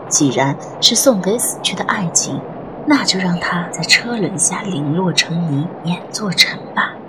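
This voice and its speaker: A woman's voice: on the low side, animated, a little slow, noisy background. A woman narrating a ghost story as a dramatic audiobook, telling it expressively and with suspense. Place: studio recording with music behind.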